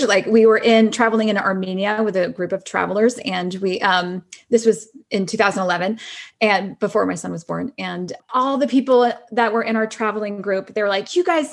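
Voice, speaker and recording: A woman's voice: medium pitch, fairly quick. A middle-aged woman chatting casually as a podcast guest, telling personal stories with plenty of "like" and "you know". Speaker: podcast guest, actress and singer-songwriter.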